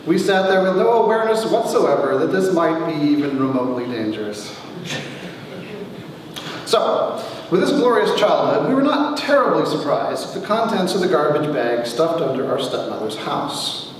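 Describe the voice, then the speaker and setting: A middle-aged man giving a wry, theatrical reading of his own comic memoir as its author, with deliberate pauses, recorded in a large echoey hall from a distance. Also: fairly high pitch, sing-song. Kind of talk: dramatic comic memoir reading to a live audience. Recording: echoey hall, distant mic, faint noise.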